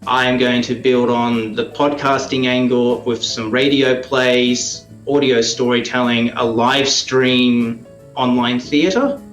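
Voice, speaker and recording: A man with an Australian accent giving conversational interview answers in a halting way, as if thinking aloud. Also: medium pitch, fairly even intonation, fairly bright tone, reverberant, soft background music, poor audio. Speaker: radio play producer and performer, interview guest.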